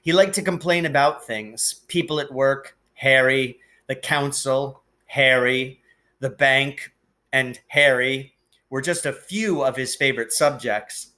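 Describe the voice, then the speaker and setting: A man reading a children's novel aloud as a teacher would, with expressive narration and deliberate, emphatic phrasing. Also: mid-range voice.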